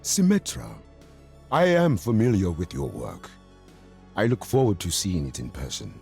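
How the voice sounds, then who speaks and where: A man's voice: fairly low pitch, huge pitch swings, moderate pace, vocal fry, a little mellow, faint music behind, exaggerated voice. A man playing an imposing, arrogant villain in a video game, menacing and condescending.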